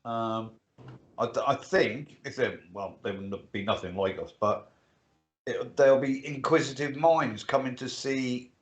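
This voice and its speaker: A man's voice: mid-range voice, unhurried, faint music behind. A man in his fifties co-hosting a casual livestream chat, plain-spoken and casually swearing. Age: 50s. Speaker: livestream co-host.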